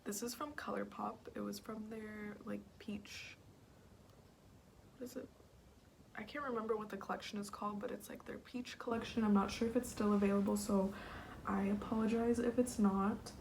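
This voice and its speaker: Raspy young woman chatting through makeup. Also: medium pitch, steady tone, normal speed, a little mellow, a little hiss or hum. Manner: chatty, slips into whispers while working. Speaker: beauty vlogger. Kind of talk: casual makeup tutorial. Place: home, at a mirror.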